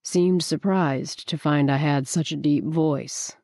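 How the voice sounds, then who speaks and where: Lady reading a teasing, sarcastic young guy, voice dropped low and close-miked, dry, no room at all. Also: steady tone, slow pace, warm tone, no echo. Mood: needling. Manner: blunt, needling, sarcastic. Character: brash, teasing young man, male friend.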